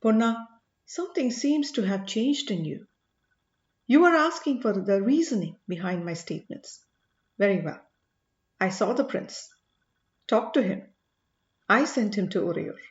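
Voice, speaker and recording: A woman voicing an earnest, commanding male sage in an audiobook, speaking in a measured, emphatic way. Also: medium pitch, expressive, echoey room, lo-fi sound, natural voice. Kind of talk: audiobook character dialogue.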